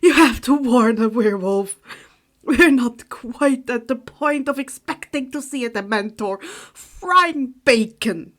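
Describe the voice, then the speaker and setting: A grown male werewolf in a fantasy storybook, acted by a woman. He is good-natured but dramatic and easily flustered, with a forceful, projected delivery. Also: on the high side, lively intonation, slow. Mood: shocked, dramatic.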